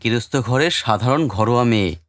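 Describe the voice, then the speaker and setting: A man's voice: medium pitch, fairly quick, no room sound, close to an everyday voice. An actor playing a curious, gossiping village man in audio drama dialogue.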